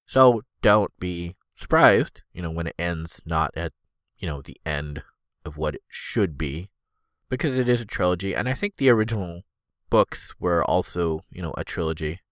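A man's voice: deep voice, lively intonation, moderate pace, a bit bright, no echo, studio quality. A man hosting a solo podcast, rambling casually with lots of fillers, his voice stuffed up and congested. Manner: rambling, filler-heavy, easygoing. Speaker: podcast host.

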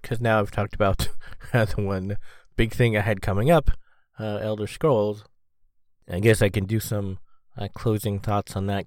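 A man hosting a solo podcast, talking in a rambling, halting way full of fillers, with a sniffly cold and a slightly rough throat. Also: on the low side, darkish tone, no room sound. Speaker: podcast host. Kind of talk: casual solo podcast monologue.

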